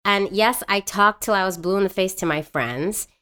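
A woman's voice: mid-range voice, moderate pace, pushed and bright, clean tone. A woman co-hosting a podcast, talking casually and earnestly, often restarting and repeating her words. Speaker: podcast co-host. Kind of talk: casual conversation.

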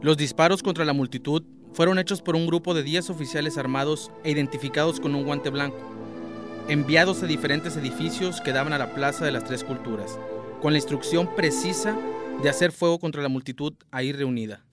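High-pitched male narrator over music. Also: a little fast. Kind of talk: scripted documentary narration for radio drama.

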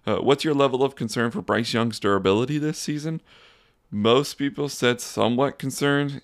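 A young man hosting a casual solo sports podcast, talking in a flat, monotone, rambling way. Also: medium pitch. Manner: monotone, says so himself; relaxed, rambling with fillers and restarts. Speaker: podcast host.

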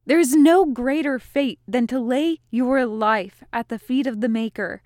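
A woman's voice: high-pitched, measured pace, a little mellow, dry recording, studio quality. A woman voicing a proud, pious male mage in a fantasy audiobook, solemn and declamatory. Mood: proud, resolute.

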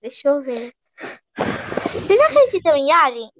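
A woman's voice: high-pitched, very animated, moderate pace, no echo. A young woman in casual podcast chat, talking in a chatty, rambling way. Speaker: podcast guest. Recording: dry, dull lo-fi recording.

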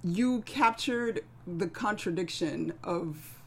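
A woman with a slightly low voice speaks in a casual panel discussion. She is from the American South. She thinks aloud, pausing and restarting as she looks for the right words. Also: animated, a crowd in the background. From American South (Atlanta). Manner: reflective, searching for words. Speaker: actor at a script table read.

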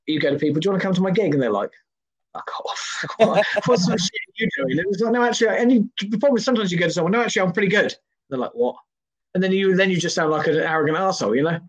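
A man's voice: sits high, expressive, rapid-fire, husky, rough recording. A man in his thirties, a singer and comedian, chatting casually in a self-deprecating, jokey, rambling way. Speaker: podcast guest, singer and comedian. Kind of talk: casual chat.

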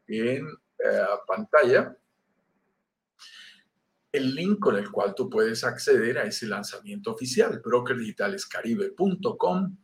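A man's voice: mid-range voice, lively intonation, slow pace. Colombian man presenting a live webinar. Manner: explanatory, addresses viewer directly, frequent "eh" fillers. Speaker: real estate investment presenter.

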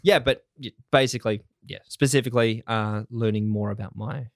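A man hosting a casual podcast chat, soft-voiced and relaxed, swearing now and then. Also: mid-range voice, fairly quick, gravelly, no room sound, studio quality. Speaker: podcast host. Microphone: studio mic in a home-built voiceover booth.

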